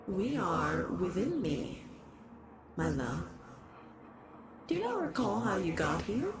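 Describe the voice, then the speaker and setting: A performer voicing a lovesick shapeshifting horror in a roleplay monologue, doting and possessive, halting, with soft menace in the endearments. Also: mid-range voice, creaky, background noise, full character voice. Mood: musing. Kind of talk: dramatic roleplay monologue addressed to the listener.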